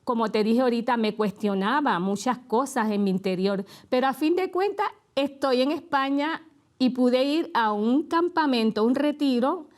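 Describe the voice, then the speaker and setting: A woman's voice: high voice, moderate pace. Puerto Rican woman sharing a testimony. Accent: Puerto Rican Spanish. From Puerto Rico. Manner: relaxed storytelling with light laughs. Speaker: co-host and guest. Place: TV studio.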